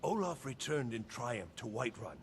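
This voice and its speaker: A man's voice: mid-range voice, sing-song, normal speed, lightly acted. An actor playing a proud, courtly male steward of a fantasy game keep, telling lore in a formal, boastful way. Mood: storytelling. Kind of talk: fantasy video game dialogue. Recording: faint noise and game ambience.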